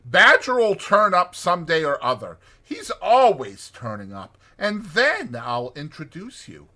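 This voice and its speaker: Man voicing an emphatic, high-pitched storybook Water Rat. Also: wildly swooping pitch, takes it slowly, bright, forceful tone, heavily acted. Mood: breezy, assuring. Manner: emphatic, insistent, reassuring. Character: hearty, evasive male Water Rat, easily alarmed storybook animal.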